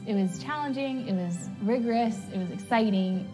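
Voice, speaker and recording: A woman's voice: on the high side, moderate pace. A young woman, a college student, giving a casual testimonial. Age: young adult. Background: music.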